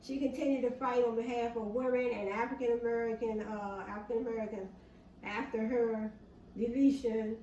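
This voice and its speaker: A woman reading history notes aloud, halting with many fillers, rereading passages and then restating them in plain words. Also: fairly high pitch, dark tone, recorded from a distance, highs cut off. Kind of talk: casual reading and explaining aloud. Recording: echoey room, voice off-mic, faint background hum.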